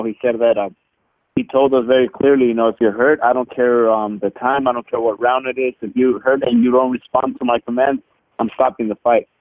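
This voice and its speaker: Male athlete interviewee on a narrow phone connection; casual, halting, conversational answers. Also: mid-range voice. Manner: halting, repeats words, peppers talk with "you know" and "man". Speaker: boxer being interviewed. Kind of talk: casual press call answers. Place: phone line on a teleconference. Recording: narrow muffled telephone conference line.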